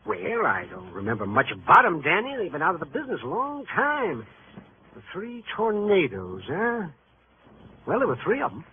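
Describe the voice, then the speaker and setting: An actor playing a jovial, florid-tongued carnival promoter in a 1950s radio crime drama, with an American accent and a wordy, theatrical manner. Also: male voice, sits high, huge pitch swings, moderate pace. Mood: musing. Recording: muffled vintage radio with faint noise.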